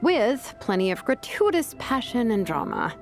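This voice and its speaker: A laid-back young woman, slightly high with varied intonation. She talks casually and wryly as a game character, faint music behind her. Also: big pitch swings. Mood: wry, explaining. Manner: casual, wry, drawn-out fillers. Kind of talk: video game character acting.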